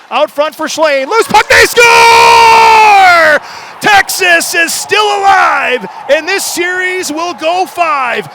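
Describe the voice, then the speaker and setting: A man calling live ice hockey play-by-play for radio in an excitable manner, building from steady calls to shouts. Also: very high voice, slight background noise.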